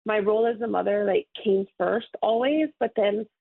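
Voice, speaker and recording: A woman's voice: mid-range voice, normal speed, gravelly. A woman in her thirties from Hawaii, a guest who talks in a friendly, rambling way with a light laugh. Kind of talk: casual interview. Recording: tinny phone line.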